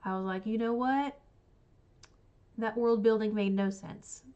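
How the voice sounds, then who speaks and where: A woman's voice: a little high, measured pace, dark tone, clear, resonant tone, highs cut off. A woman, congested and sniffly from a cold, chatting in a rambling way about books on a livestream. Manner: rambling, chatty, full of likes and ums. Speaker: book channel host. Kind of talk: casual livestream chat.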